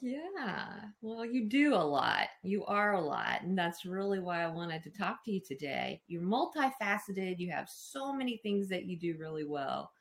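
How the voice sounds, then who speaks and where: Low, gravelly-voiced woman hosting. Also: sing-song, moderate pace, few pauses. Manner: warmly enthusiastic, affirming. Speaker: podcast host. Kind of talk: casual interview hosting.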